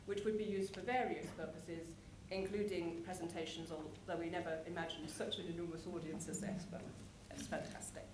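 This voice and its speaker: A woman giving a prepared conference presentation, explaining things in measured phrases with deliberate pauses. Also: fairly low pitch, steady tone. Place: large conference hall with an audience. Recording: echoey conference hall, clear background noise.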